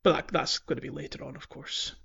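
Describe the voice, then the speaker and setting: Man, mid-pitched, casual podcast host, hesitant rambling explanations, slightly muffled lo-fi recording. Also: steady tone, a little fast, vocal fry, a bit bright, husky. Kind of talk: casual gaming podcast chat.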